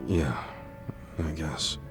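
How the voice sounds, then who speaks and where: An actor playing a gruff but earnest yakuza underling weighed down by grief, speaking bluntly and informally in a video game. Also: male voice, rumbling low, drawn-out words, music in the background, full character voice. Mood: subdued, grieving. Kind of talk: dramatic video game dialogue.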